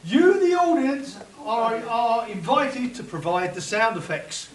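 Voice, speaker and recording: A man's voice: very high voice, expressive, unhurried. A man introducing a live comic radio play as its announcer, bantering with hecklers and the audience. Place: live convention hall with audience. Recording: echoey hall from far off, loud crowd. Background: loud audience chatter and laughter.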